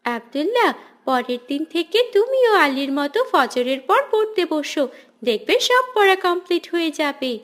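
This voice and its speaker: Woman as a high-voiced, encouraging cartoon big sister. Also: big pitch swings, normal speed, fairly bright tone, full character voice. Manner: encouraging, instructive. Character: kindly, encouraging older sister figure giving advice. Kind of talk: cartoon dialogue.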